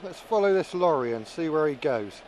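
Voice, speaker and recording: A man's voice: on the high side, expressive, moderate pace, darkish tone, slight background noise, rough recording. A man giving casual, musing running commentary as a vlogger flying a drone, thinking aloud as he goes. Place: outdoors.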